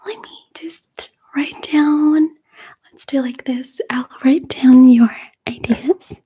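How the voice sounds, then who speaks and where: A woman whispers softly to her livestream in an intimate ASMR style. Her voice is breathy and mellow, staying quite flat in pitch. She speaks hesitantly and a little nervously, over faint background noise. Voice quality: breathy whisper, mostly unvoiced. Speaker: ASMR streamer hosting a live chat.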